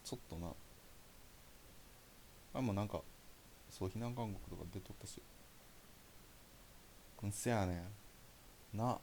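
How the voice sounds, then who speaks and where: A man's voice: fairly low pitch, a little slow, long pauses, darkish tone, a little hiss or hum. A young man with a Kansai Japanese accent playing a caring boyfriend in a naturalistic phone-call audio drama, chatting casually and hesitantly. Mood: concerned, sympathetic. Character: caring young boyfriend reassuring his anxious girlfriend.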